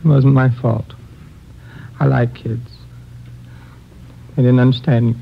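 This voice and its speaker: Gravelly, cornered killer, pleading. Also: male voice, medium pitch, level tone. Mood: broken, confessing. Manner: reluctant, pleading, halting. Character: cornered, frightened male child killer pleading weakly. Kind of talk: 1950s radio crime drama acting. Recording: muffled, noisy vintage radio recording.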